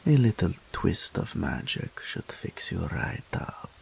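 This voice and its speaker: Man doing a deep, gravelly incubus demon. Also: big pitch swings, full character voice. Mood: doting. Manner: slow, purring, frequently dropping to a whisper. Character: dominant, possessive male incubus demon, teasing and doting. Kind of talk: audio roleplay.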